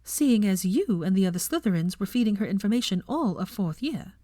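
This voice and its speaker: A woman reader acts out a young witch professor in an audiobook. She is self-assured and prim, cheerful yet firm, speaking in a raised, animated pitch with a dry sound. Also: fairly quick, no echo, lightly acted. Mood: indignant.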